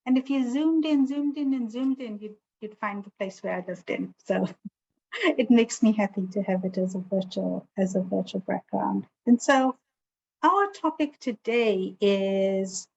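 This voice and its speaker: A woman's voice: mid-range voice, unhurried, gravelly, darkish tone, slight background noise. A woman with a South African accent giving an informal, explanatory online seminar talk in a conversational way. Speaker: presenter, estate planning attorney. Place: online video call with virtual background. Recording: dull lo-fi video call sound.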